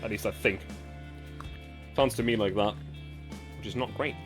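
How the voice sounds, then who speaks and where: A man livestreaming as host, reading game story aloud with casual asides and stumbling now and then, his voice slightly raspy. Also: on the low side, over music. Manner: halting, often stumbles and corrects words while reading.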